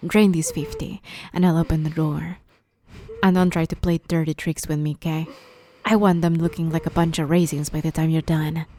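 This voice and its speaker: A woman voicing a playful, sadistic vampire in an ASMR roleplay, teasing her captive with mock sweetness and quiet menace. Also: fairly low pitch, natural voice. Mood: playful threat. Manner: teasing, mockingly sweet, menacing, often hushed. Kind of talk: ASMR roleplay, dramatic monologue.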